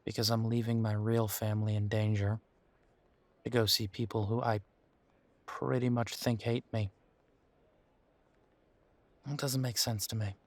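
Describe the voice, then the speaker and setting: Guy acting as a bitter werewolf opening up to his partner, low voice, really slow talking, sardonic audio roleplay. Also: little pitch movement, no room sound. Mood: reluctant, uneasy. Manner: sardonic, hesitant, conversational.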